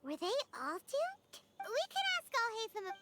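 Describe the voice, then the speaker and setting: A woman's voice: very high voice, wildly swooping pitch, unhurried, exaggerated voice. A woman voicing a small, perky, easily indignant childlike fairy companion in a video game, speaking of herself in the third person. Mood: helpful, curious. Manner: refers to self in third person. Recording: loud game music behind.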